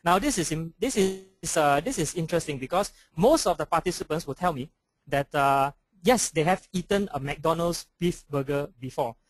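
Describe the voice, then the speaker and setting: A Malaysian man presents at an online conference, pausing often with "uh" as he explains. His voice sits fairly high, and the recording is dry and close, with no room sound. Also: lively intonation, no room sound, rough recording. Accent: Malaysian English. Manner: frequent hesitations, explanatory. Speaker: conference speaker. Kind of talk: webinar presentation.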